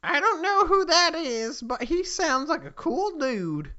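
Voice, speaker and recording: A hot-tempered young shrine maiden as a man puts her on, very high and wildly swooping. She is brash and foul-mouthed, in a piercingly bright voice for a comic story reading. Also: sing-song, a little slow, lo-fi sound, heavily acted. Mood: wary, brash. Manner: brash, swearing.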